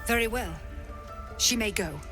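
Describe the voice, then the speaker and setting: A woman's voice: on the high side, measured pace, over loud music, natural voice. Cold, imperious elf druid woman, played by an actress. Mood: commanding. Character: stern, ruthless, commanding female elf druid leader. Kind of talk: video game cutscene acting.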